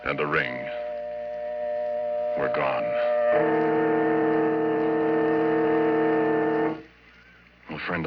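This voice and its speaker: An actor playing a rough, plain-spoken oil worker telling his horror story in a halting, folksy way, in 1940s radio style. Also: rumbling low. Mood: grim, shaken. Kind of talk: 1940s radio horror acting, first-person narration. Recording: muffled old radio recording, music underneath.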